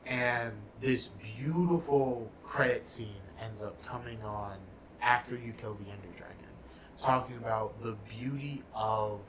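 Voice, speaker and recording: A man's voice: lowish voice, background noise. A young man co-hosting a casual chat, explaining things thoughtfully with trailing fillers. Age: young adult. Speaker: podcast co-host. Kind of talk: casual chat about games and movies.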